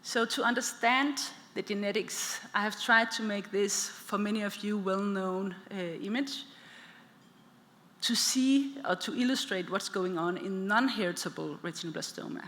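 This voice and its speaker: Danish-accented woman, geneticist at a podium, bright voice, long hall reverb. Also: mid-range voice, moderate pace. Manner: plain, simplifying explanations for lay listeners. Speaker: clinical geneticist panelist. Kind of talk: conference presentation. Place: large conference hall. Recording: reverberant hall with faint ambience.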